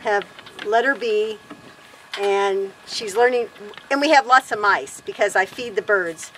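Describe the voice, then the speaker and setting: A woman's voice: medium pitch, a little slow, fairly bright tone, slight background noise, low-quality recording. A woman in her fifties chatting casually to camera outdoors on a wooden deck, rambling and correcting herself as she talks. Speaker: homesteader vlog host.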